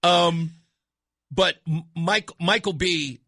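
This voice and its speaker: A male radio host chatting casually in a studio, excitable, laughing often and breaking into loud bursts. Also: fairly high pitch, takes it slowly, no echo. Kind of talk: casual on-air chat. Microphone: studio microphone.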